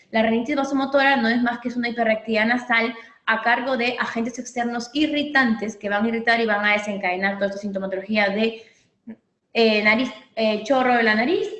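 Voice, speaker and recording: Woman doctor lecturing in an echoey room. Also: on the high side. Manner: didactic, repeats points, checks in with "¿ok?". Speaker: physician lecturer. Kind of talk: medical training lecture.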